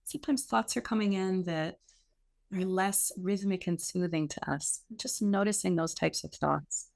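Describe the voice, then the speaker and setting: A woman meditation teacher giving gentle guided instruction in a soothing, unhurried way with pauses between short phrases. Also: lowish voice, vocal fry.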